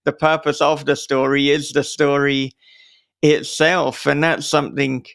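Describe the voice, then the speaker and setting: A man's voice: fairly high pitch, flat delivery, measured pace, vocal fry, a bit bright, clear, resonant tone, no room sound, clean studio sound. A man hosting an interview, talking in an earnest, reflective way as he offers thoughtful advice. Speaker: podcast interviewer and host.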